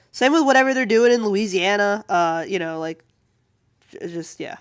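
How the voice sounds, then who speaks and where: A woman artist chatting casually and with amusement on a livestream while she draws, in a rambling, animated way. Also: medium pitch, a little slow, dry recording, studio quality. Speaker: comic artist streaming while drawing.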